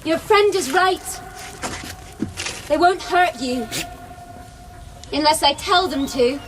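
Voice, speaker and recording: A woman's voice: high voice, slow pace, background noise. An actress playing a wild young woman raised among wolves in a radio drama, cool, commanding and unafraid.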